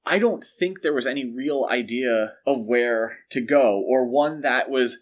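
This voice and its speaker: A man's voice: medium pitch, unhurried, highs cut off. A man hosting a podcast, talking through films in a casual, analytical and emphatic way. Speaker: podcast host. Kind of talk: casual analytical film discussion.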